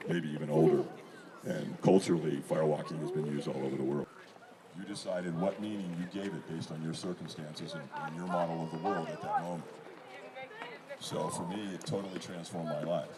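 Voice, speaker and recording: A man with a slightly hoarse voice, giving a folksy, rambling motivational talk to a group as a team-building trainer. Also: sits low. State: hoarse from hours of talking. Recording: distant mic, loud outdoor background noise.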